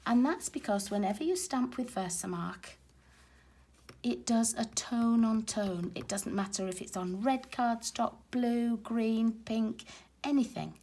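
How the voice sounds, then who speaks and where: A woman with a raspy, hoarse voice from a cold, chatting casually as she demonstrates card making at her craft table. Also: a little high, unhurried, warm tone. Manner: chatty and explanatory, talking while working with her hands. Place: craft table at home, filmed from overhead.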